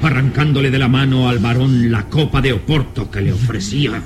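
A man's voice: medium pitch, fairly even intonation, brisk. A man narrating a radio drama from a script in a solemn, theatrical manner.

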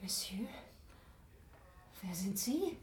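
A woman's voice: mid-range voice, big pitch swings, drawn-out words, darkish tone, a little hiss or hum. A woman playing a young opera singer in a radio drama, polite and emotional. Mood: faint, confused. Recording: echoey room with music behind.

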